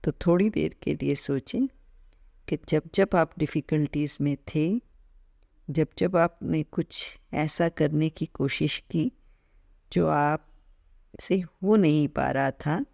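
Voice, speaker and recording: A man leading a guided meditation as an instructor, speaking calmly in short measured phrases with gentle pauses. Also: on the high side, creaky, no echo. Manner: mixes English words into Hindi, repeating terms in both.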